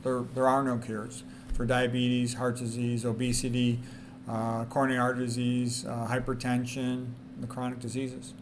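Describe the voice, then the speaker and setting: A man's voice: mid-range voice, completely flat delivery, normal speed. Man reading and commenting, noisy recording. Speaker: presenter. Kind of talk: reading quotes aloud with informal commentary. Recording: noticeable background noise.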